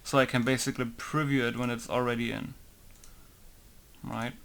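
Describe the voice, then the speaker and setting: A man's voice: mid-range voice, moderate pace, creaky, clear, resonant tone. Man rambling casually through a modding session. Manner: relaxed, rambling, laughs often. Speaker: game modder. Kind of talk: casual screen-recording commentary.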